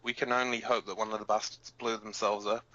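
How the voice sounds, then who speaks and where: A man playing a gruff, battle-scarred resistance fighter co-hosting an underground radio broadcast in an audio drama, blunt, profane and halting. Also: on the low side, flat delivery, bright, forceful tone. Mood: bitter. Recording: dull lo-fi sound.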